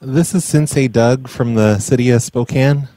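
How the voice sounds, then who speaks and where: A man making a prank phone call as an earnest caller, talking in a hesitant, rambling, deadpan way. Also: medium pitch, moderate pace, dry recording. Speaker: prank caller and podcast host.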